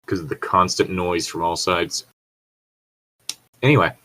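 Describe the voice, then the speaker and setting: A man with a fairly low voice co-hosts a casual podcast. He reads internet horror stories aloud and chats around them, drifting off track easily and cursing freely. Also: measured pace, bright, forceful tone. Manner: easily sidetracked, freely profane. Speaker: podcast co-host.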